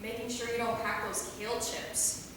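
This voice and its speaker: Casting: woman as flippant immortal vampire, high voice, slangy joker; staged reading in a very echoey hall. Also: little pitch movement, normal speed, natural voice. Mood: breezy. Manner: joking, slangy, self-amused. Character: goofy, wisecracking immortal vampire woman, cocky troublemaker. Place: theater stage, recorded from a distance. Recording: very echoey hall, far mic, noisy.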